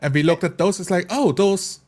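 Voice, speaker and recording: A man's voice: high voice, brisk, darkish tone. A man talking casually in a group discussion as a tabletop role-playing player.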